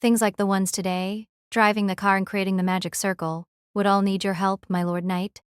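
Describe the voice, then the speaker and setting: A gentle noble lady, played by a woman in an audio drama. She is polite and composed, at a middle pitch, with a very dry, close sound. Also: little pitch movement, fairly quick, clear, resonant tone, dry recording, lightly acted. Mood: reassuring.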